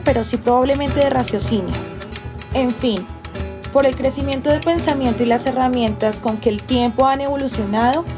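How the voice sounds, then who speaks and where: A woman's voice: high-pitched, natural voice. A young woman playing a formal letter writer from a past era in a student radio drama. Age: young adult. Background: music.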